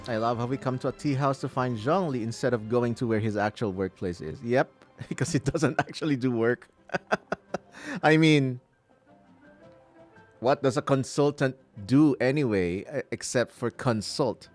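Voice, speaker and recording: A man's voice: medium pitch, moderate pace. A middle-aged man from the Philippines giving casual, rambling commentary on a livestream as he plays a game. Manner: chatty, rambling. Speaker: game streamer. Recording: game music behind.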